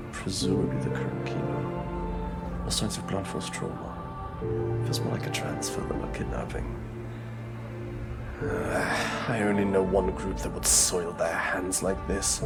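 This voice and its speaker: A man playing a wry, brooding supernatural detective in a scripted audio drama, with a theatrical delivery. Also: low-pitched.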